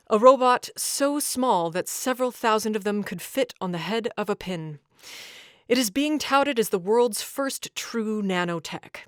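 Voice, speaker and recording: A woman's voice: mid-range voice, no echo, studio quality, lightly acted. Actress as a polished female tech news host. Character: enthusiastic, skeptical female tech show host. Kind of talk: scripted tech news presenting.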